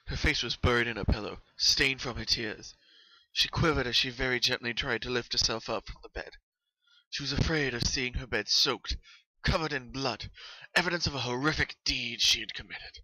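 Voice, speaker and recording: A man's voice: mid-range voice, pushed and bright, dry recording, rough recording. A man narrating a horror story as a solemn, dramatic reading.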